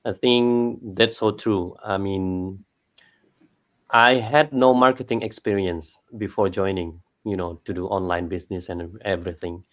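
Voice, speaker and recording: A man from Indonesia, a guest on a live panel, speaking politely and in a measured way. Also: low voice, steady tone. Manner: polite, measured, a few "you know"s. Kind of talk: casual panel chat.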